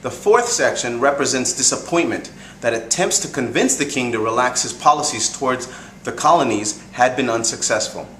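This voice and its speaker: A man's voice: medium pitch, expressive, moderate pace, fairly bright tone. Man presenting in an echoey room. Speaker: presenter introducing a group reading. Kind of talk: prepared spoken introduction. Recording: echoey room, faint background noise.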